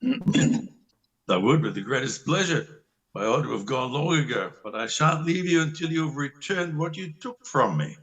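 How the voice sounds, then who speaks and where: A man's voice: mid-range voice, lively intonation, normal speed, a bit bright, recorded from a distance, low-quality recording. A man playing an exasperated, sardonic country doctor in an amateur play reading, speaking bluntly and mockingly. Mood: insistent.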